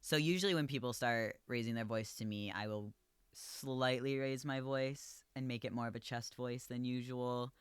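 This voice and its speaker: A man who manages a coffee shop, talking casually and calmly, with a voice that projects and lots of "like" and "um.". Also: mid-range voice, normal speed, smooth, dry recording. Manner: calm, easygoing, filler-heavy with lots of "like" and "um". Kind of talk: casual podcast chat.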